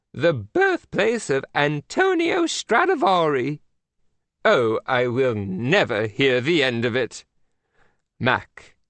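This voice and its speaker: Brief: reader doing a haughty older queen, grand and formal, slow and swooping. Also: mid-range voice, fairly bright tone, no room sound, voice pushed up, full character voice. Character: haughty, dramatic queen, older woman, easily excited. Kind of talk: audiobook character voice.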